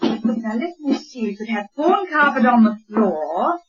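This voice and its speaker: An actress playing a determined, brisk wife who waves away fears, in theatrical old-time radio drama style. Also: female voice, a little high, faint music behind. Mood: delighted. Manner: theatrical, expressive, chiding and coaxing. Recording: dull lo-fi old radio recording.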